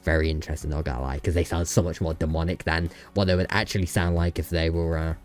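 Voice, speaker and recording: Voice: low-pitched, steady tone, fast, soft background music. A young adult host chatting casually while reacting to game clips, sweary, slangy and jokey.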